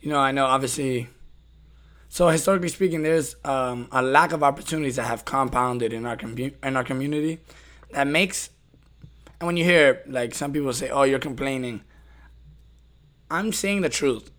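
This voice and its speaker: A man's voice: mid-range voice, moderate pace, long pauses, fairly bright tone. A man with a middle-range voice hosts a casual, opinionated conversation. He is direct and emphatic, pressing his points and tagging them with short questions to the listener. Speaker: podcast host.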